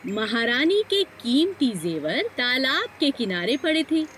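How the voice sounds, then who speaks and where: A woman's voice: on the high side, slight background noise. A woman narrating a children's moral story as a scripted storyteller.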